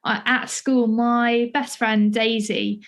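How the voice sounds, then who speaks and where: A woman's voice: a little high, little pitch movement, takes it slowly. A woman in her twenties with a southern English accent, chatting casually as an interviewer, feeling her way through her sentences. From Essex, England. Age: 20s. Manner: hesitant, searching phrasing with many hedges. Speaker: podcast interviewer and playwright.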